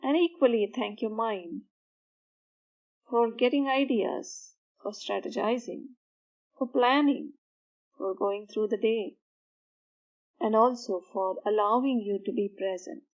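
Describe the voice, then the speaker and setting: A woman with a somewhat high voice leads a guided meditation for sleep. She speaks soothingly in short, measured phrases with pauses between them. The recording sounds a little dull and lo-fi. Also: a little mellow.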